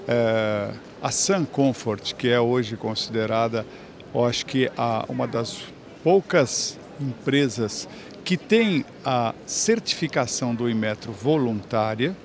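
A man's voice: mid-range voice, takes it slowly. A man in his fifties with a Brazilian Portuguese accent, hosting on-site interviews at a trade fair and praising products with enthusiasm, speaking into a handheld mic in a large exhibition hall. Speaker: trade show TV host.

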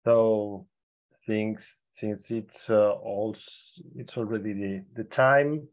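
A man speaks very slowly as a seminar moderator, pausing often and filling gaps with "uh". He talks through an earphone mic on a video call. The sound is dull and lo-fi. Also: fairly low pitch, fairly even intonation. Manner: slow and hesitant, with many pauses and fillers. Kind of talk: introducing a speaker.

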